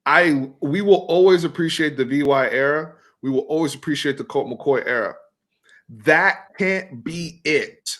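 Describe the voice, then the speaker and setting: A man in his thirties from Texas hosting a casual sports talk stream, animated and fast, often repeating words as he builds his point. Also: on the high side. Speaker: host.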